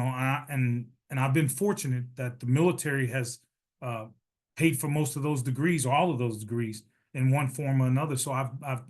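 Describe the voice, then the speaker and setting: A middle-aged man answering as a panelist, animated and joking, often restarting his sentences. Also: medium pitch. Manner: animated, many restarts, self-deprecating humor. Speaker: panelist, retired captain and nurse leader.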